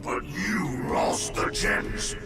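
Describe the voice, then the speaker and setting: An actor voicing an evil, tyrannical mask spirit in a video game, growling and shouting grandiose threats in fury. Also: male voice, very slow. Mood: furious, menacing. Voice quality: gravelly, growling. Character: evil floating mask spirit, booming, tyrannical, furious villain. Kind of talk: theatrical video game villain acting. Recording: roomy echo, distant, loud music.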